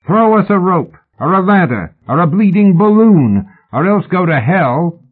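A man voicing a brash ringleader posing as a drunken rascal in an audiobook, with rough, jovial shouting. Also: high-pitched, sing-song, a little slow, phone-quality sound, pitched above the performer's own voice, full character voice. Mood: rowdy, shouting. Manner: rough, jovial shouting, dropping to quiet command. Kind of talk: audiobook character acting.

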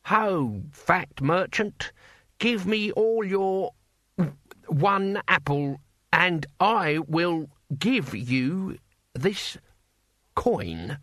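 A man voicing a gruff old barbarian warrior in an audiobook, blunt and halting as he grudgingly attempts manners. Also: fairly high pitch, lively intonation, a bit bright, dry recording, full character voice. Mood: halting, uneasy. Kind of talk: audiobook character acting.

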